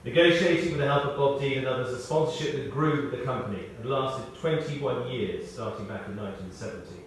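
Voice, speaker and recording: A man reading a prepared tribute aloud in short, measured phrases, with wry storytelling. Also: mid-range voice. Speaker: tribute speaker. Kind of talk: read-out memorial tribute with anecdotes. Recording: echoey hall, distant, faint noise.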